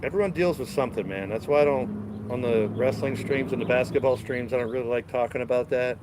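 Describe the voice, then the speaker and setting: A stuffed-up man chatting loosely to his live-stream viewers as he strolls through his garden with a phone in hand. His voice sits at an ordinary middle pitch, and faint outdoor noise runs behind him. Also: brisk. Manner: rambling, laid-back, talks to chat while walking. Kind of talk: casual live-stream chat. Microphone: phone camera held while walking.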